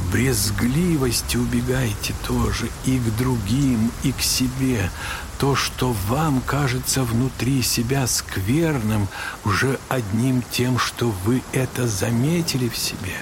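An actor playing a gentle, wise elderly monk who counsels in a measured, softly admonishing way in a literary audio drama. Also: male voice, medium pitch, noisy background. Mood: kindly, earnest.

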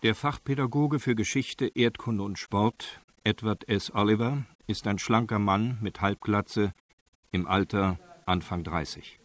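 A man's voice: on the low side, a little slow, dry recording, lightly acted. A man narrating a radio crime drama as a factual case report. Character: matter-of-fact male narrator of documentary case report.

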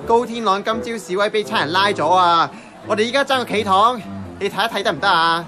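A man's voice: high-pitched, fast, over music, a crowd in the background, natural voice. A man acting a brash, cynical diner worker with a Hong Kong Cantonese accent and a blunt, complaining manner. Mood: frantic. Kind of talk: radio drama acting.

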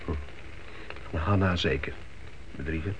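A young man, speaks low and slowly as a curious, teasing brother in a biblical radio drama. He is hushed and probing, on a muffled, noisy recording. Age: young adult. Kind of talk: radio play acting.

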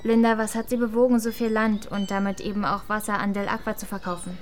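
An actress playing an earnest reporter, giving scripted documentary-style narration in a radio drama. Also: female voice, mid-range voice, little pitch movement, fast talker, background noise, close to an everyday voice. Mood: measured, inquiring.

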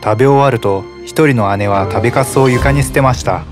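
A man narrating a fairy tale in a calm, even storytelling manner. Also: medium pitch, a little fast. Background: music.

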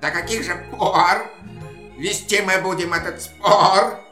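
A woman's voice: lowish voice, slow pace, pushed and bright, echoey room, faint music behind. A woman playing a vain, boisterous goat in a children's audio play, speaking verse with theatrical exclamations. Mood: insistent. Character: vain boisterous goat husband, animal guest at a party.

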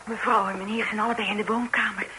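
A woman's voice: medium pitch, little pitch movement, quick pace, lightly acted. An actress playing a polite, deferential young woman of an old household in a vintage radio drama. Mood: anxious, deferential. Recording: noisy old radio play recording.

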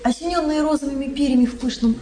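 Very high-voiced coquettish young princess played by a woman. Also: quick pace, dark tone, close to an everyday voice. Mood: piqued, coy. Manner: coquettish, pointed. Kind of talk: theatrical radio play acting. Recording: faint music and crowd behind.

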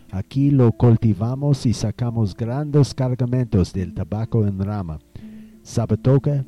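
An actor playing a proud, easily offended nineteenth-century German settler in a radio drama, speaking formally and deliberately like a storyteller. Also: male voice, mid-range voice, lo-fi sound, lightly acted.

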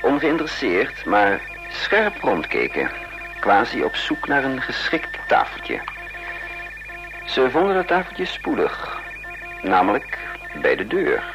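A man's voice: medium pitch, wildly swooping pitch, slow pace, long pauses, muffled recording, music in the background, full character voice. An actor playing a suave, sly man on the run in a radio drama, teasing and mock-pompous, who also narrates. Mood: tense narrating. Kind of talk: radio drama acting and first-person narration. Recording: slightly noisy radio drama recording.

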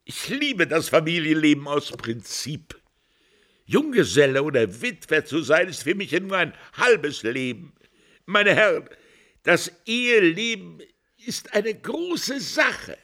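An actor playing an earnest, humble ageing estate steward in a radio drama, solemn, halting and deferential. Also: male voice, a little high, big pitch swings, sharp tone, full character voice. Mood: tipsy, sentimental, solemn. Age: older. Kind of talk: German radio drama acting.

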